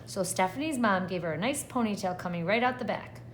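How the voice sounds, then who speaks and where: A woman's voice: mid-range voice, lively intonation, brisk, a little hiss or hum. A woman kindergarten teacher reading a picture book aloud expressively and putting questions to the children. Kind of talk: read-aloud lesson for young children.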